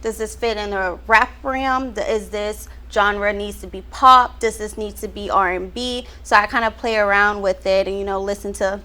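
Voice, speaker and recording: A woman's voice: mid-range voice, fairly even intonation, moderate pace, few pauses, sharp tone. A young woman from Houston, a rapper and songwriter, chatting upbeat in an interview and thinking aloud with frequent ums. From Houston, Texas. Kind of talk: casual interview chat.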